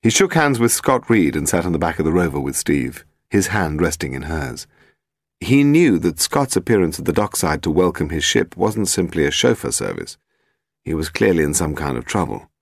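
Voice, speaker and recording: Male audiobook narrator for crime fiction: very low register, some gravel, scripted read with animated intonation. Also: normal speed, no room sound, clean studio sound. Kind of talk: scripted narration of a detective novel.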